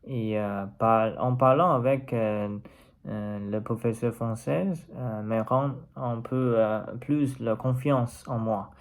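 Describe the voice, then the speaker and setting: A man from China, a native Mandarin speaker and language learner, talking unscripted in a personal vlog with a Chinese accent, halting as he searches for words. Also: medium pitch, fairly even intonation.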